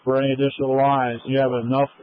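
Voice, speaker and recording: A man's voice: mid-range voice, level tone, quick pace. A man acting as fire incident commander, speaking in a matter-of-fact, procedural way over a two-way radio. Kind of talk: emergency radio traffic. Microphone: radio handset. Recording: tinny narrowband fire radio transmission.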